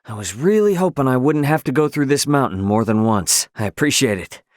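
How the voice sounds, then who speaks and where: Brash young adventurer guy, lively pitch, acted by a man. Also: fairly quick, no room sound, clean studio sound, lightly acted. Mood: casual, focused. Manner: slangy, wry, offhand. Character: brash, casual young male adventurer, impatient but good-hearted. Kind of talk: video game character voice lines.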